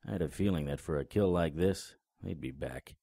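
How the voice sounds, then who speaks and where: An actor playing a wisecracking, unflappable paranormal investigator in an audio drama, with a dry, sarcastic delivery. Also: male voice, sits low, brisk, creaky, mellow, no room sound. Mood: grim narration. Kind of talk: audio drama dialogue and first-person noir narration.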